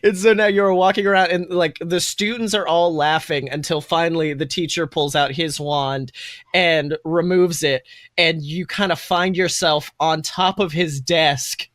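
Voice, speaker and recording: Higher-pitched man narrating a tabletop game. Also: steady tone, measured pace, fairly bright tone, no echo, clean studio sound. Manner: informal, conversational storytelling. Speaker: game master and podcast host.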